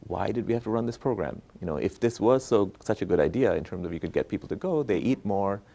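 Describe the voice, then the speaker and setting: Low-pitched man explaining like a professor. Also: animated, fast, gravelly, dark tone. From Bangladesh. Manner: explanatory, checks in with "right?" and "okay?". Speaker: economist, professor interviewed as guest. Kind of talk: conversational explanation of research.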